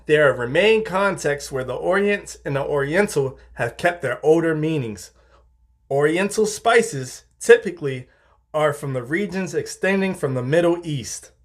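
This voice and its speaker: A man reading scripted narration in a formal, measured way, like reference-book entries. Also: a little high.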